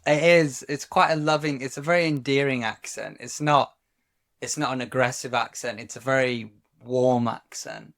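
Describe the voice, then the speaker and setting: A man chats as a podcast co-host, his voice carrying a gravelly fry at the ends of phrases. He talks in a reflective, halting way, often stopping to restart a thought. His pitch sits in an ordinary middle range. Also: fairly even intonation, fairly bright tone. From Wales. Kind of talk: casual chat.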